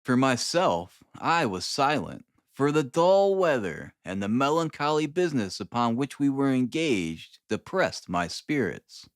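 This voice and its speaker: A man narrating a classic novel as an audiobook, expressive, with deliberate, dramatic phrasing. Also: medium pitch, no echo, clean studio sound.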